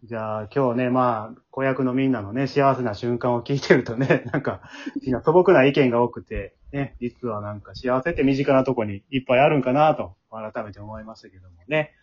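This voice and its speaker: A man's voice: mid-range voice, a little fast, darkish tone, a little hiss or hum. A man hosting a casual after-show talk, chattily echoing and summing up what others say. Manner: chatty, echoes and sums up others' answers. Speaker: host and leader of an amateur drama troupe. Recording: slightly dull, lo-fi podcast sound.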